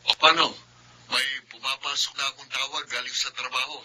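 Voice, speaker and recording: An actor playing a hurried, work-obsessed elder brother in a radio drama, brisk and full of excuses. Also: male voice, medium pitch, flat delivery, bright, forceful tone, low-quality recording. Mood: hurried, evasive. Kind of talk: Tagalog radio drama acting.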